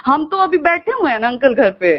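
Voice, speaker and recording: A woman's voice: high-pitched, very animated, brisk. A woman with an eastern Hindi-belt accent argues and pleads insistently on a recorded phone call, repeating her questions. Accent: eastern Hindi belt, Bhojpuri region. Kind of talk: emotional phone conversation, arguing and pleading.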